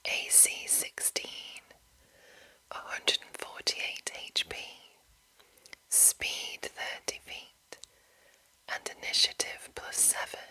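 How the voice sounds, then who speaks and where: A woman hosting an ASMR reading, whispering in a hushed, deliberate way and lingering over short phrases. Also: low-quality recording. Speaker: ASMR host. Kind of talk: whispered ASMR reading of a game manual with casual commentary.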